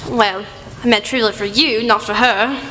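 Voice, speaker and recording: A woman's voice: a little high, steady tone, normal speed, pushed and bright, a little hiss or hum. A woman playing a practical, sympathetic doctor and detective's companion in a radio play, polite and conversational. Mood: concerned. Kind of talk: amateur radio play acting. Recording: echoey hall, faint music.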